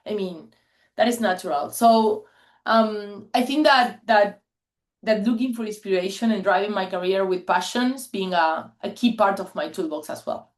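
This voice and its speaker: A woman in her forties, a native Spanish speaker, talks to an online meeting through a video call mic. Her pitch sits in an ordinary middle range. She tells her stories in an earnest, chatty way and laughs often. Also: moderate pace. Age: 40s. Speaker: guest speaker.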